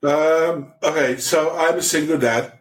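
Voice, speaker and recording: A man's voice: fairly high pitch, steady tone, unhurried. A man in a casual podcast chat, talking in a loose, rambling way and swearing now and then. His sentences often stop and start over. His voice sounds echoey, as if recorded in a bare room. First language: English. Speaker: podcast guest.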